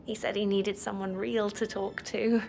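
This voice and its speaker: An actress playing an anxious, naive young housemaid in a video game, speaking hesitantly. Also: female voice, medium pitch, flat delivery, normal speed, gravelly, darkish tone, slight background noise, lightly acted. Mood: nervous, pleading. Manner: hesitant, nervous. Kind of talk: scripted video game dialogue. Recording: music behind. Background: music.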